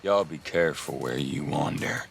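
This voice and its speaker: An actor playing a mocking, territorial backwoods gang member with a Southern American accent in a video game. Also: male voice, a bass voice, creaky, slight background noise. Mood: mocking menace. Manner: slow, taunting. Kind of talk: video game cutscene acting.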